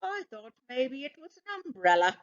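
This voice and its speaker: A woman's voice: high-pitched, sing-song, moderate pace, highs cut off, voice pushed up. A woman voicing a naive, good-natured, food-loving male dog in a children's storybook, with an earnest, innocent manner. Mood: puzzled. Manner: earnest, innocent, striving for dignity. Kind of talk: children's storybook read-aloud.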